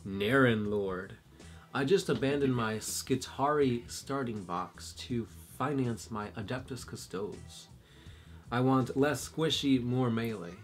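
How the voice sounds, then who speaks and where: Relaxed guy reading out fan questions, mid voice, kinda rambly, some music under it. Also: mid-range voice. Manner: easygoing, chuckling, thinking aloud with uhs. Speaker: co-host reading viewer questions. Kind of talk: casual chat.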